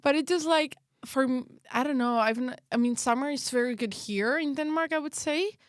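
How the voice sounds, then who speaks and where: A woman's voice: sits high, brisk, no room sound, clean studio sound. A young woman from northern Morocco and Spain co-hosting a casual podcast, chatty and rambling, with plenty of filler words. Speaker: podcast co-host. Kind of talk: casual chat.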